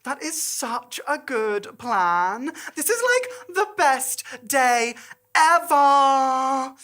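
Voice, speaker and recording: Woman playing an excitable, campy young man, high-pitched. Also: very slow, exaggerated voice. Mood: ecstatic. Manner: campy, gushing, exclamatory.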